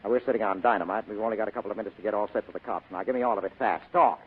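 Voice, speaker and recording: An American actor playing a hard-boiled, cynical private detective in a 1940s radio drama, with a brusque, sardonic manner. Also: male voice, on the low side, very fast. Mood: accusing. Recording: raspy narrow-band old radio recording.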